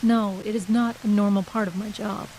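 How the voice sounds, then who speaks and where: An actress playing a brisk, defensive, skeptical literary agent in an audio horror drama, with dry, guarded delivery. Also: female voice, mid-range voice, steady tone, mellow, slight background noise, close to an everyday voice, poor audio. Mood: guarded, brittle.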